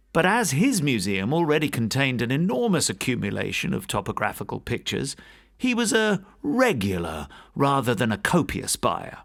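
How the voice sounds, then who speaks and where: A man narrating a classic gothic ghost story in a measured, formal, theatrical storyteller's manner. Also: mid-range voice, fairly bright tone. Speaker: audiobook narrator and podcast host.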